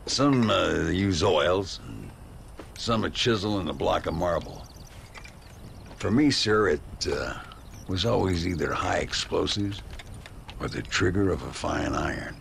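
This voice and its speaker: Gravelly old Western gunslinger, boastful. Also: male voice, low voice, lively intonation, fairly bright tone, a little hiss or hum, heavily acted. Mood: grandiose. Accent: American Western. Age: older. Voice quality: gravelly, drawling. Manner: theatrical, halting, self-mythologizing. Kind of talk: video game cutscene acting.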